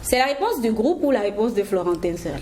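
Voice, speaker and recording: Bright-voiced woman hosting a TV riddle game. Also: fairly high pitch, heavy background noise. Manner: lively, laughing, repeats riddles slowly. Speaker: TV show host. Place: TV studio set.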